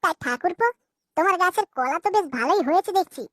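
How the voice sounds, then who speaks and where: Very high-pitched flirty cartoon sister-in-law. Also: female voice, expressive, quick pace, fairly bright tone, dry recording. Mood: coy teasing. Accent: West Bengali. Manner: coy, teasing. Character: coy, flirtatious village sister-in-law, adult woman. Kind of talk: comic cartoon dubbing.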